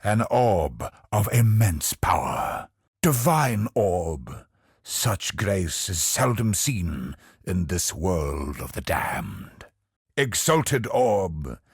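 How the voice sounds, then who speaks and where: An actor voicing a brooding, grandiose godlike villain in a video game, husky and gravelly, with an ominous, deliberate delivery. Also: male voice, low voice, big pitch swings, no echo, clean studio sound, exaggerated voice. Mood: ominous, reverent. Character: godlike male cosmic villain, brooding, grandiose, obsessed with perfection. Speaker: video game boss character.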